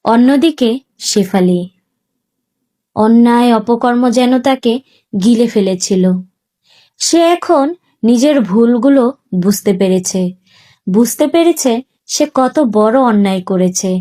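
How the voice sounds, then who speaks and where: A woman's voice: a little high, lively intonation, measured pace, pauses often, dark tone. A woman narrating a story in a scripted, dramatic storytelling style.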